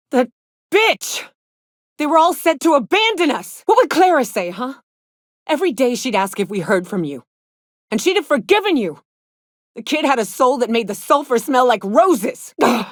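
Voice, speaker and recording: A woman playing a tough, grieving, foul-mouthed huntswoman in a space frontier video game, speaking bluntly in gruff, folksy phrasing. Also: deep voice, no echo, clean studio sound. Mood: anguished, furious, then bitter, sorrowful. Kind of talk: video game character dialogue.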